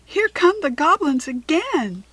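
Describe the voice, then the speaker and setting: A woman's voice: high voice, very animated, a little slow, a bit bright, no room sound, voice pushed up, exaggerated voice, low-quality recording. A woman voicing an eager, caring young girl in a children's storybook, with earnest expression. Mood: urgent.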